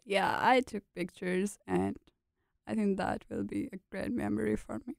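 A young woman from Pakistan, a student whose first language is a regional Pakistani language, talking in a casual radio interview, laughing often and speaking in short halting phrases. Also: medium pitch, fairly even intonation, creaky, dry recording, clean studio sound. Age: young adult.

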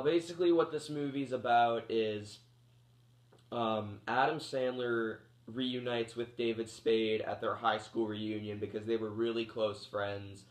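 A man around thirty reviewing a movie in a loose, rambling way, full of fillers and restarts, while getting over a cold that makes him cough. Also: lowish voice. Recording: echoey room.

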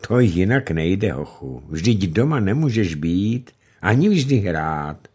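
A man reading the part of a gentle, wise old grandmother in an audiobook, speaking slowly and softly with a husky voice. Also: deep voice, sing-song, full character voice. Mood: calm, tender. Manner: slow, soft-spoken, unhurried.

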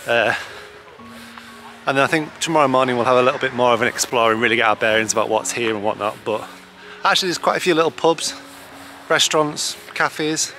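A man's voice: medium pitch, a little fast, sharp tone, soft background music. A man talking casually and informally as a travel vlogger, with an upbeat, chatty manner. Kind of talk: casual vlog chat.

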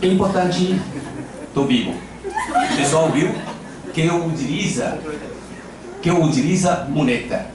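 A man's voice: on the high side, huge pitch swings, measured pace, long pauses. Older man with Japanese accent in echoey hall. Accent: Japanese-accented Portuguese. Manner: playful, self-deprecating storyteller. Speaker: acupuncture lecturer. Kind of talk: informal lecture with anecdotes. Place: large lecture hall with audience. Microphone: handheld microphone. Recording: echoey hall, camera at a distance, background noise.

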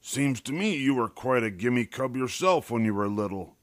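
A man's voice: medium pitch, lively intonation, normal speed, no echo, lightly acted. An old grandfather bear , voiced by a man reminisces in a children's story. He is folksy and teasing, talks in short clipped phrases, and is recorded dry. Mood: teasing, nostalgic.

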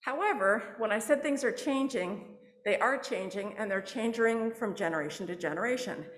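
A woman professor giving a public lecture, explaining with frequent fillers and restarts from a podium microphone. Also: medium pitch. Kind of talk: academic presentation. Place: lecture hall on stage. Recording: echoey lecture hall.

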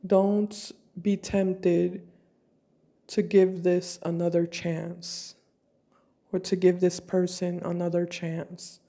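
A woman reading tarot cards for her viewers while fighting a cold, speaking slowly and deliberately at her table at home. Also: lowish voice, level tone, warm tone. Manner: slow, deliberate, intuitive. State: has a cold. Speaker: tarot reader.

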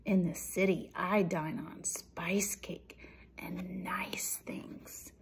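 A woman voicing a haughty, bossy, excitable female city mouse in a children's storybook, with a grand and boastful manner. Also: lowish voice, very slow, in a whisper. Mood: snooty. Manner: grand, boastful, shrieking when alarmed. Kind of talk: children's storybook read-aloud.

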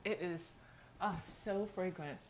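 A woman's voice: lowish voice, takes it slowly, crowd noise. A woman hosting a casual conversation, appreciative and encouraging as she chats. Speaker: host interviewing a chef. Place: indoor home kitchen.

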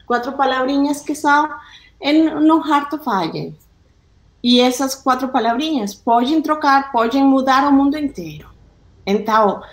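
A woman whose first language is Spanish, speaking Portuguese in an upbeat, inviting way on a video call. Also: on the high side. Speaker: community organizer. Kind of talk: welcoming address on a video call. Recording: echoey room on video call.

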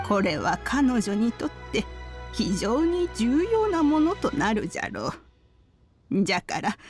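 Elderly grandmother, fairly high voice, unhurried delivery, kindly, knowing, old-fashioned phrasing, game dialogue, music behind. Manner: slow, archaic old-person phrasing. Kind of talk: video game character dialogue. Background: background music.

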